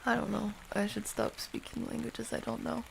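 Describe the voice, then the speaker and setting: A woman hosting an ASMR livestream, chatting casually and softly with viewers in a playful, affectionate, unhurried way, sometimes close to a whisper. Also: fairly high pitch, little pitch movement, creaky, a little hiss or hum, low-quality recording. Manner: soft-spoken, unhurried, drifting into whispers.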